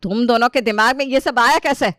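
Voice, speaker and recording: A woman's voice: fairly high pitch, a little fast, a bit bright, dry recording, clean studio sound, natural voice. A woman playing an older, doting mother-in-law in a family story, fussing and emphatic. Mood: taken aback. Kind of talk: acted family storytelling dialogue.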